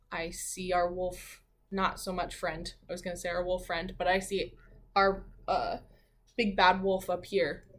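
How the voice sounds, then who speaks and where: A woman teacher reading a picture book aloud to children, expressively, with dramatic pauses and her own thoughts along the way. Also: on the low side. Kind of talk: children's read-aloud with commentary.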